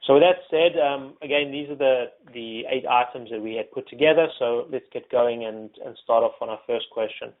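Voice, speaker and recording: A man's voice: mid-range voice, normal speed, hardly any pauses. A man from South Africa with a South African accent, moderating a panel webinar in an easygoing way with many ums and uhs. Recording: phone-like narrow audio.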